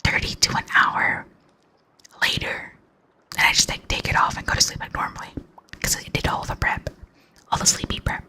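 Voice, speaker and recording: A young adult ASMR streamer chatting with viewers in a rambling, close-mic whisper, full of fillers and soft little laughs. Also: moderate pace, long pauses. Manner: laughs softly between thoughts. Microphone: close mic, near enough to blow into.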